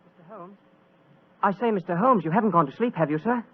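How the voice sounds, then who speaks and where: Earnest, higher-voiced young British civil servant. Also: male voice, fast, breathy edge. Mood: earnest, urgent. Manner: polite, eager, earnest. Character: earnest, deferential young British Foreign Office man. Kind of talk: 1940s radio acting. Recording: old muffled 1940s radio recording.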